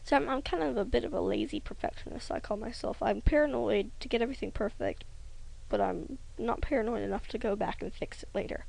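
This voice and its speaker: A young woman rambling hesitantly over a drawing tutorial, often trailing off into soft near-whispers. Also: a little high, a little fast, creaky, poor audio. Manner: rambling, hesitant, self-conscious, many ums. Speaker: hobby animator.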